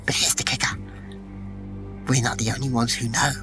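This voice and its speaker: A man's voice: medium pitch, fairly even intonation, normal speed, over music, natural voice. A man playing a confident, wry warrior in a fantasy audio drama, with a self-assured manner. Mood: smug.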